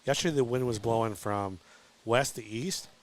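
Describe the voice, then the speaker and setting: A man's voice: mid-range voice, normal speed, slight background noise. A man with a mid-range voice talks on a live panel. He sounds congested and worn out, yet stays chatty and keeps putting questions to the others. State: congested and tired.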